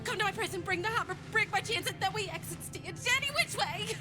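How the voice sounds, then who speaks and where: Game role: manic, long-tormented female prisoner, very high voice, erratic and theatrical, music behind. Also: fast. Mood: manic, chirpy. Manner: theatrical, erratic, swinging from singsong to hushed. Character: manic, frightened captive woman, chirpy and unhinged after long torture. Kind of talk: video game character acting.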